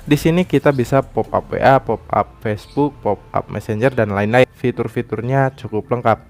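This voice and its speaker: Man, mid-pitched voice, explanatory tutorial narration, tag word at phrase ends, music behind. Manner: explanatory, often tags sentences with "ya". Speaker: tech tutorial YouTuber. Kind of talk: screen-recorded app tutorial narration. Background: background music.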